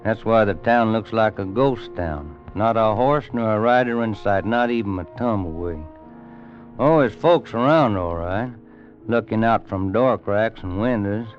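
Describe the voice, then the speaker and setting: An actor playing a weathered, plain-spoken old cowboy rancher with an American Western drawl and folksy rustic grammar in a radio western. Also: male voice, on the low side, measured pace, lightly acted. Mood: tense, ominous. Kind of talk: 1950s radio western acting and narration. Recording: muffled old radio recording with music.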